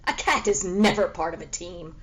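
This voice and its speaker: A woman's voice: on the low side, expressive, normal speed, close to an everyday voice. A woman voicing a haughty, boastful female cat in a storybook, sassy and sarcastic, with grand self-important phrasing. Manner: sassy, grandly refers to herself in third person.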